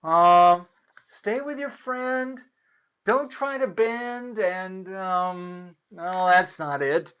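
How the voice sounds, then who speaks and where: A man voicing an excitable young boy shark from a storybook, who exclaims and thinks aloud in rhymes. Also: high voice, extremely slow, clear, resonant tone, muffled recording, exaggerated voice. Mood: unsure, thinking.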